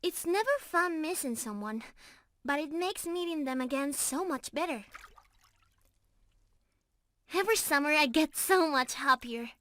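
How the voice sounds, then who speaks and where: A young mermaid in love, acted by a woman, speaks in a very high voice at an unhurried pace. She is tender, playful and prone to giggles, addressing her beloved directly in an intimate ASMR roleplay. Her tone is clearly bright and full of affection. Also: lively intonation, full character voice. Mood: happy, teasing.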